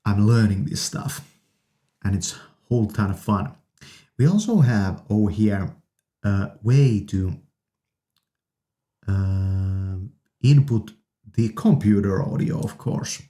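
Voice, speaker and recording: Male gear-review host: low, soft-edged dark voice, casual and enthusiastic, speaking in halting phrases into a studio condenser. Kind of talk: casual product demonstration. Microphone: studio condenser microphones.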